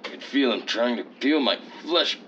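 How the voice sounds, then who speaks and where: An actor playing a folksy, cocksure, bloodthirsty war hero with hammy bravado in an old-time radio adventure parody. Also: male voice, medium pitch, lively intonation, moderate pace, sharp tone, highs cut off, slight background noise. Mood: cocky, folksy.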